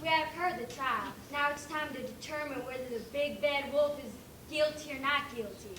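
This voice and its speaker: Woman as high-voiced, orderly pig foreperson. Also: moderate pace, close to an everyday voice. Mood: formal. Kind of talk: amateur school stage play. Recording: echoey noisy room, distant mic.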